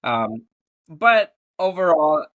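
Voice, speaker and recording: A man's voice: on the high side, very animated, takes it slowly, a bit bright. A man in his thirties from Malaysia, answering interview questions conversationally as a podcast guest, in an earnest, storytelling way. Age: 30s. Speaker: podcast guest, immigration attorney.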